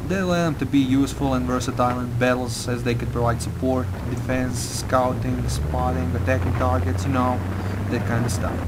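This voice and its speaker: A man's voice: mid-range voice, heavy background noise. Croatian-accented man explaining game tactics. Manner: careful non-native phrasing with frequent uh fillers. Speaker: game tutorial narrator. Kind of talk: gameplay tutorial voice-over.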